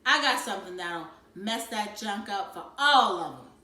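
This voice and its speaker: A swaggering teenage boy from a storybook, voiced by a woman reader, cocky and defiant. He talks in street slang with a lively, bouncing pitch and bullies like a big brother. The room rings with echo. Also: moderate pace. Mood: boastful, scheming.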